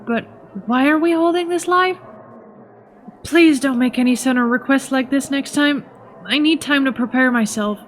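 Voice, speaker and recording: A woman's voice: high voice, close to an everyday voice. A woman voicing an earnest, cautious teenage boy idol in an anime fan dub, fretful and a little fussy. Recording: music under the voice.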